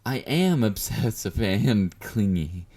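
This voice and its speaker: Casting: male actor for a clingy yandere admirer in audio roleplay, dark soft timbre, slow delivery, flirty and self-assured. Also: fairly low pitch, lively intonation, heavily acted. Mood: tender, clingy. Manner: doting, confident, coaxing with pet names. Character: obsessive yandere male fan, clingy, flirty, confident stalker. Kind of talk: intimate roleplay monologue addressed to the listener.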